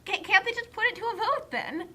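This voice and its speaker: A woman's voice: extremely high pitch, lively intonation, a little fast, bright, forceful tone. A young adult woman giving casual comedic commentary in an emphatic, nerdy, argumentative way.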